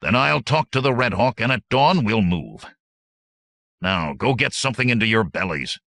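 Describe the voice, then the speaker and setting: A man voicing a gruff, authoritative bandit lieutenant in an audiobook, barking his words. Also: on the low side, lively intonation, moderate pace, bright, forceful tone, no echo, studio quality, pitched above the performer's own voice, full character voice. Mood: firm, barking. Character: gruff, commanding one-eyed bandit lieutenant.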